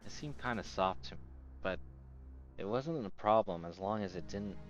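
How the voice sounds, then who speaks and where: A man's voice: lowish voice, animated, moderate pace, soft background music. A man reading scripted story narration from a visual novel aloud. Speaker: narrator reading translated game text aloud.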